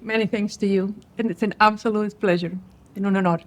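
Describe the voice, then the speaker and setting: A woman's voice: mid-range voice, steady tone, fairly quick. Reflective woman from Croatia. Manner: thoughtful, with many restarts and fillers. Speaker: cardiologist guest. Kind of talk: conference interview.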